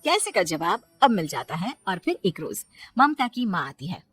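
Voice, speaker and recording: A woman's voice: on the low side, very animated, moderate pace, fairly bright tone, slightly rough, faint music behind. A woman storyteller narrating a scripted family moral story.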